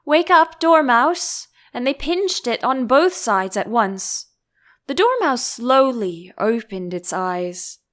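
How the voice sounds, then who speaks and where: A woman reading a children's storybook aloud as an audiobook narrator, in an expressive scripted style. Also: fairly high pitch, slow.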